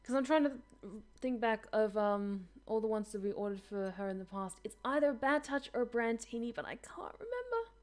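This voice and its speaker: A woman's voice: fairly high pitch, expressive, fairly quick, hardly any pauses, darkish tone, soft background music. A woman streaming a game, chatting casually with her viewers, self-deprecating and talkative. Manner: chatty, self-deprecating, reads chat aloud.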